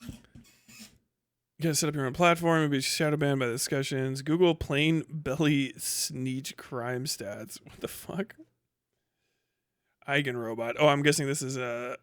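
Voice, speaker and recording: A man's voice: on the high side, fairly even intonation, measured pace, pauses often, fairly bright tone, clear, resonant tone, dry recording. A man hosting a casual livestream, commenting and reading posts aloud in a slangy, sweary, mocking way. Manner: often breaks into laughter. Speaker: livestream host.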